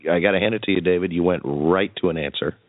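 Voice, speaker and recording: A man with a very low voice hosts a podcast in a casual, joking style. The sound is dry and narrow, like a phone line. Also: fast, no echo. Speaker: podcast host and interviewer.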